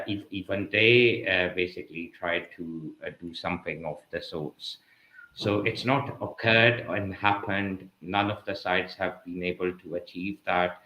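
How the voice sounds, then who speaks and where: A man with a low, forceful voice gives his thoughts on a panel. He speaks in halting, broken phrases, and the sound is echoey and roomy. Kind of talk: extemporaneous panel discussion.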